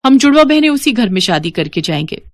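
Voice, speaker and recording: A woman's voice: a little high, sing-song, a little fast, dry recording. A woman playing an earnest, devoted young twin sister in a moral story. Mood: earnest pleading.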